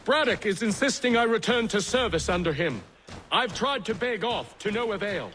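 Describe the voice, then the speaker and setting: A man's voice: high-pitched, lively intonation, moderate pace, bright, forceful tone, slight background noise. An actor playing an anxious, apologetic soldier in a video game, addressing his superior formally. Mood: apologetic, worried. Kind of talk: scripted video game drama.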